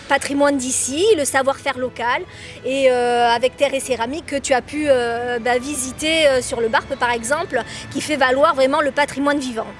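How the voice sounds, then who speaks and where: Female tourism representative, high pitch, hesitant promotional answers, faint music behind. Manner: hesitant, halting phrasing with repeated tags. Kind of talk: spontaneous promotional interview answers.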